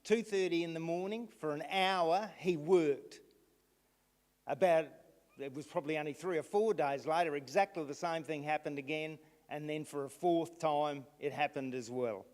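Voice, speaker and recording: A man addressing a council meeting, recounting events haltingly with restarts. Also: fairly high pitch, a little mellow. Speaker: resident speaking at council meeting. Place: council chamber. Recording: long reverb in a hall.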